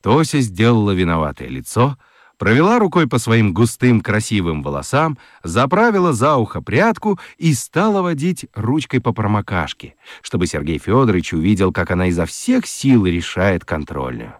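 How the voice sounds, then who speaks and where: Very expressive male storyteller, dry close sound. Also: on the low side, moderate pace, no echo. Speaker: narrator. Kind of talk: expressive storybook narration for children.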